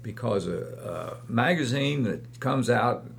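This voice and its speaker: Older man with a deep gravelly voice. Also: lively intonation, a little hiss or hum. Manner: slow, rambling storytelling with hesitant fillers. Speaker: guest, longtime club runner. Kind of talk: casual reminiscing chat.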